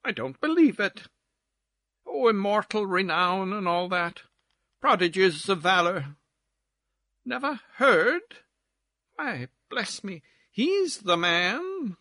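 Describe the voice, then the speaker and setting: Man acting a society lady, high-pitched, slow drawn-out gushing, affected, coy, storybook gossip. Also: sing-song, pushed and bright, no echo, full character voice. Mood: gossipy, gushing. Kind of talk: theatrical storybook character voice.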